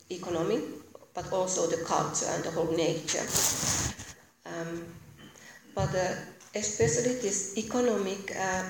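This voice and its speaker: A woman with a Finnish accent lectures in a soft, fairly low voice. She feels her way through her sentences, stopping and correcting herself. The room rings heavily with echo, and there is clear noise behind her. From Finland. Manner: hesitant, halting delivery with self-corrections. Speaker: guest speaker, visiting academic. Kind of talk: informal public lecture. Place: echoey indoor room.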